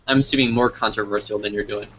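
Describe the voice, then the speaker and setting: A man's voice: lowish voice, steady tone, quick pace, a bit bright. A man from New Mexico hosting a casual interview, chatting and putting questions to his guest. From New Mexico, United States. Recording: muffled lo-fi sound with background noise.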